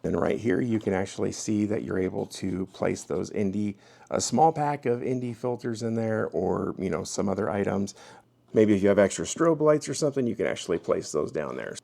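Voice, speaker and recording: A man's voice: fairly low pitch, animated, moderate pace, gravelly, dark tone. A man giving a casual, hands-on product review as the host of his own video.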